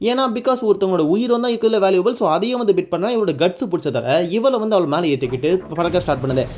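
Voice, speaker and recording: A man's voice: high-pitched, expressive, fast talker, darkish tone. A man recapping a story casually and informally, rambling along and mixing in English words. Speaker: YouTube anime recap narrator.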